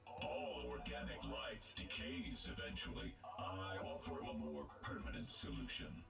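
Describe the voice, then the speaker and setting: A genocidal machine tyrant from a video game. His voice is abyssally deep and gravelly, run through a robotic filter, with cold, arrogant delivery. Loud music plays behind it. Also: animated, heavily acted. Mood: grandiose menace. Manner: disdainful, formal, deliberate.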